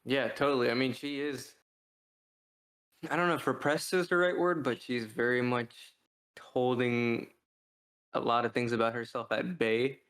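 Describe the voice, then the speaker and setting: A man's voice: mid-range voice, steady tone, unhurried, long pauses, a bit bright. A man in a casual review discussion, thinking aloud as a co-host and often checking in with "like" and "right". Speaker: podcast co-host.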